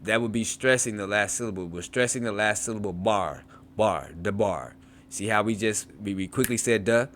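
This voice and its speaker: A man's voice: on the low side, moderate pace, fairly bright tone, a little hiss or hum. A man teaching a beginners' Hebrew class, reading from a textbook and breaking off to explain, repeating words aloud to model their sounds. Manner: repeats words to model pronunciation, frequent restarts and asides.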